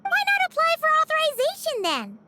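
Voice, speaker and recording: A tiny fairy sidekick with an extremely high, childlike squeak. The delivery is bouncy and expressive, full of blunt questions, as video game dialogue. Loud noise sits behind the voice. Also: female voice, brisk, heavily acted. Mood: puzzled, inquisitive. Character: tiny childlike fairy companion, chirpy and inquisitive.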